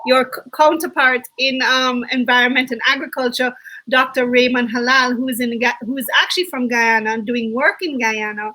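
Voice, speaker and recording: A woman co-hosting an online summit on a video call, bubbly and quick to laugh, often correcting herself mid-sentence. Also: on the high side, fairly even intonation, pushed and bright, rough recording. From Jamaica. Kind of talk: enthusiastic webinar hosting.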